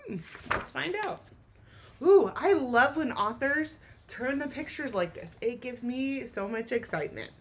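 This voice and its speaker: Female teacher for a kids' read-aloud lesson: high pitch, lively swoops, expressive, explains as she goes. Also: unhurried, slight background noise. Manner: expressive, asks listeners questions and explains.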